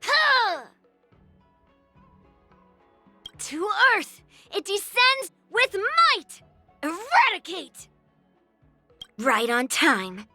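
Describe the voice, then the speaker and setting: A woman voicing a spirited, confident young girl swordswoman in a video game, brash and expressive. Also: very high voice, extremely slow, no room sound, soft background music, full character voice. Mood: fierce battle cries. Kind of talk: video game character voice lines.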